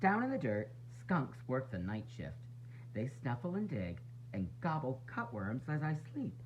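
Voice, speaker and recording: A man reading a children's picture book aloud in an expressive, dramatic, sing-song way, with varied emphasis. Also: medium pitch, normal speed. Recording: dull lo-fi sound, faint noise and hum.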